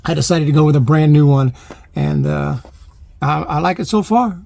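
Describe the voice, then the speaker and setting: A man giving a casual hands-on demonstration, talking in a halting way as he thinks aloud, recorded on a phone inside a van. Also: on the high side, dark tone. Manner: halting, thinking aloud while handling equipment. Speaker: vlogger, amateur DIY installer. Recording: clear background noise, radio static and clicks. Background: CB radio static and knob clicks.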